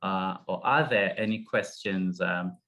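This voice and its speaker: A middle-aged man from Uganda moderating an online panel, speaking haltingly with many fillers and restarts over a video call. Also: deep voice, bright, forceful tone. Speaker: session moderator.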